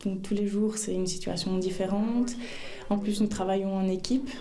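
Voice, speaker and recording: A woman giving an interview testimonial, speaking hesitantly with frequent filled pauses. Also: medium pitch, steady tone, warm tone. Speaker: air traffic controller.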